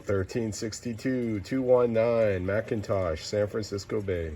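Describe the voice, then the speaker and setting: A man's voice: lowish voice, fairly even intonation, unhurried. A man working a CB radio in casual, slangy talk, repeating clipped callsigns and numbers. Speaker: CB radio operator. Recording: loud radio static and noise.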